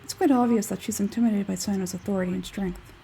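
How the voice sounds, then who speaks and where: A woman voicing an aloof, logical, smug scholarly man from a game, measured and condescending, with a husky forced-low tone. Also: steady tone, mellow, far from the mic. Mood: measured, explaining.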